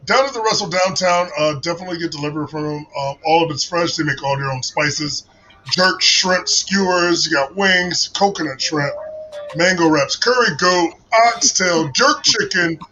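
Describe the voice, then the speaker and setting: A man co-hosting a trivia stream, chatty and excitable in casual banter, on dull lo-fi audio. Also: sits high, moderate pace, faint music behind. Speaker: co-host. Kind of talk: casual banter and sponsor plug.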